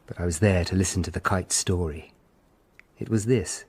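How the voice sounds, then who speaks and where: A low, husky man's voice tells a historical radio drama in the first person. He is a grave, observant Tudor lawyer, with measured, literate phrasing. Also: darkish tone, dry recording. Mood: sombre.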